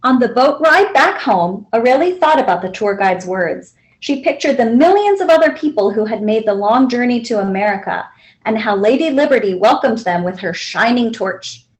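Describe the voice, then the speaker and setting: A woman narrating a children's picture book aloud in an expressive, deliberate storytelling manner. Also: a little high, poor audio. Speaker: librarian reading aloud.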